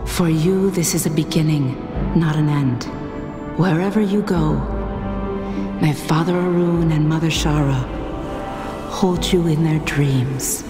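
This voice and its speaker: A woman playing a solemn, benevolent hunter goddess in a fantasy game, speaking in a stately, measured, formal way. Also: low voice. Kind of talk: fantasy game cutscene acting. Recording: echoing hall reverb, music behind.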